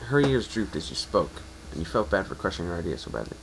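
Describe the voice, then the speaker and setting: A man reading a story aloud in the second person as a dramatic narration, a little haltingly. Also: low-pitched, big pitch swings, gravelly, noisy background.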